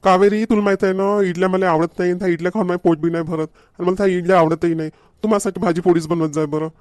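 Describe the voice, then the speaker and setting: A man's voice: high-pitched, steady tone, fast talker, a little mellow, no echo, lightly acted. A man playing a grumbling, demanding village husband in a comedy cartoon, with a Varhadi Marathi accent and a nagging, repetitive manner. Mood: annoyed, insistent. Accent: Varhadi Marathi from Vidarbha.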